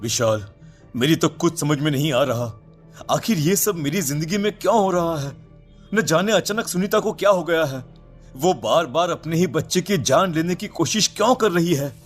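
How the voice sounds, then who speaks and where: Man acting an anxious young father, highish voice. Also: animated, moderate pace, soft background music, lightly acted. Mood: worried, puzzled. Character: anxious, protective husband and father. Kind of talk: Hindi animated horror story acting.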